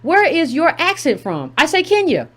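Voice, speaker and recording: A woman's voice: high-pitched, huge pitch swings, moderate pace. A woman hosting a commentary channel, reading a text aloud and breaking in with emphatic asides, repeating key phrases for effect.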